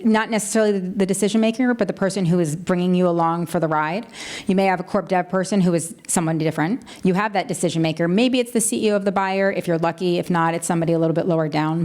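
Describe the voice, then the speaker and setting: A woman lawyer moderating a conference panel, brisk with dry asides. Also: on the low side, darkish tone. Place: conference stage.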